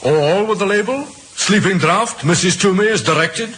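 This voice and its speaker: A man's voice: high-pitched, moderate pace, a bit bright. A male actor playing a brusque, probing police sergeant in an old radio drama, commanding and full of questions. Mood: brisk, probing. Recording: hissy old radio recording.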